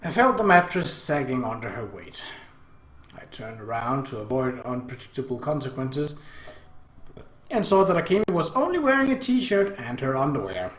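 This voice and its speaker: A man narrating a visual novel aloud as an expressive, theatrical first-person story reading, in an echoey room. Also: mid-range voice, normal speed. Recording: roomy, echoey home recording.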